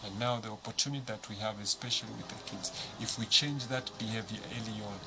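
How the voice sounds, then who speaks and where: Man, Zambian accent, lowish voice, measured explainer, noisy recording. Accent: Zambian English. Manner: measured, explanatory, gives examples. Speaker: panel guest. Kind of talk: studio panel discussion.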